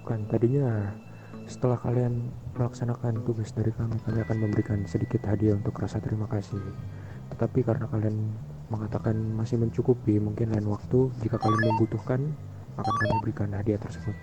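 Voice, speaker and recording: A man roleplaying a formal, composed mafia boss in an online game, speaking politely and deliberately. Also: on the low side, flat delivery, lightly acted. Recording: muffled game voice chat with music.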